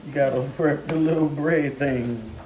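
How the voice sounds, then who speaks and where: A woman's voice: sits low, a little slow. A young woman hosting a casual home cooking vlog, chatting and teasing as she talks straight to her viewers. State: tired arm while mixing. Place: home kitchen. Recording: echoey kitchen with background noise.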